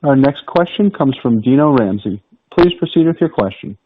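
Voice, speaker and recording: A man's voice: mid-range voice, lively intonation, normal speed, no room sound. A man working as a conference operator, making formulaic scripted announcements. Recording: dull, band-limited phone audio.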